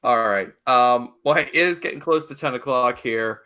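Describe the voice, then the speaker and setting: A man's voice: medium pitch, moderate pace, pushed and bright, clear, resonant tone. A man chatting casually as the host of a podcast. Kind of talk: casual chat.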